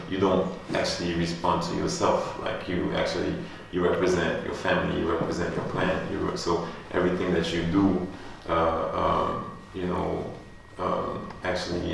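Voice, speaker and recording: A man's voice: deep voice, creaky, fairly bright tone. A man from France talking loosely about his life and work at a gallery artist talk, rambling with many fillers. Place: gallery space. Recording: echoey room, distant mic, faint hum.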